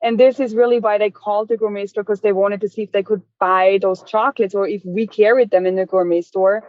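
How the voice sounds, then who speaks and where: A woman's voice: mid-range voice, fairly even intonation, a little fast, no room sound. A woman, telling her story as an interview guest in a chatty way, full of restarts. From Austria. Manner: chatty, many restarts and fillers. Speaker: guest, chocolate business owner. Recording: dry tinny phone-like line.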